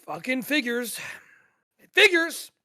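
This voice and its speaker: A man's voice: very high-pitched, big pitch swings, slow pace, bright, forceful tone, exaggerated voice. A man acting a weary, dejected game player in a naturalistic audio drama table read, hesitant and rambling, with some swearing. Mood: exasperated.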